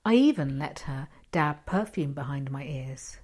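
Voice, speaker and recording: A woman reading a first-person short story expressively, with dramatic pauses and hushed asides. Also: sits low, expressive.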